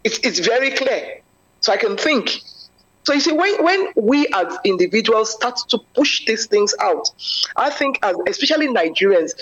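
Animated woman telling stories. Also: medium pitch. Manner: animated, expressive storyteller. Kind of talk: conversational discussion. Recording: lo-fi muffled audio.

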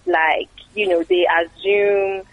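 A woman's voice: medium pitch, little pitch movement, slow, dry recording, narrow, tinny audio. A woman from Nigeria, a guest in an interview, talking casually and chattily with frequent fillers and repeated words.

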